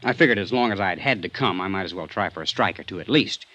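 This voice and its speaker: Muffled, touchy young murder suspect. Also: male voice, deep voice, animated, sharp tone, no echo, full character voice. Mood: earnest recounting. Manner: defensive, earnest, quick to bristle. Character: defensive young male employee under suspicion, touchy, earnest. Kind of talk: vintage radio drama acting. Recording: dull, band-limited old radio recording.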